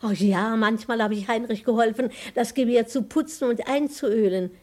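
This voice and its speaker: An actress playing a timid, devoted, sentimental widow in a German radio play, plaintive, submissive and hesitant in manner. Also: female voice, fairly high pitch, moderate pace, a little mellow, natural voice. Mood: wistful, devoted.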